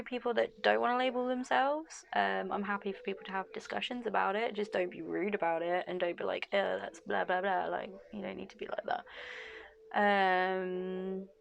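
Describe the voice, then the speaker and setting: A woman's voice: medium pitch, steady tone, moderate pace, creaky, a little hiss or hum. A woman vlogger chatting frankly to camera, laughing often and losing her voice a bit. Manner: frank and chatty, with frequent laughs and "like, you know" fillers. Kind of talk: casual talking-to-camera vlog.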